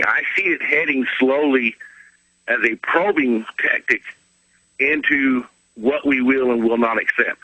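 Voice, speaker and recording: A man's voice: medium pitch, dry recording, highs cut off. A man phoning in to a radio show to give his unscripted political opinions. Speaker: phone-in caller. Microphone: telephone on radio show.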